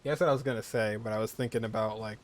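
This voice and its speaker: A man's voice: on the low side, fairly even intonation, racing, a little hiss or hum. A man giving casual gameplay commentary, offhand and half muttering to himself. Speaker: gaming streamer. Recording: faint game music behind.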